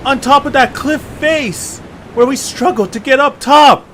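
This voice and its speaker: A man playing an excitable, earnest young adventurer leading a stranded group in an audio drama. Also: extremely high pitch, measured pace. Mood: disbelieving. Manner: exclamatory, animated, earnest. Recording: clear background hiss and noise.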